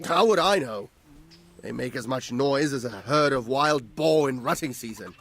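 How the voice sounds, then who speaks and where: An actor playing a put-upon medieval village tanner in a video game, complaining bitterly in colourful terms. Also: male voice, mid-range voice, animated, moderate pace, a bit bright. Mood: indignant. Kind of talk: video game dialogue acting.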